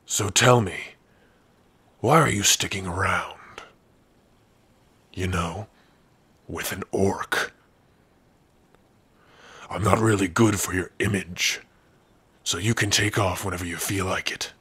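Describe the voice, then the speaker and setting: A man playing a big, gruff orc with a rough, husky voice, teasing yet secretly soft-hearted, in an ASMR roleplay. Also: low-pitched, slow pace, pauses often, exaggerated voice. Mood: gruff, wry. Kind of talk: ASMR roleplay addressed to the listener.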